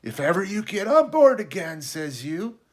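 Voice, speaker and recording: A man voicing a half-crazed, sly and childish marooned sailor in an adventure storybook, hoarse, excitable and rambling in rustic seafaring dialect. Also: high voice, a little slow, pitched above the performer's own voice. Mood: sly, startled. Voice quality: hoarse, awkward.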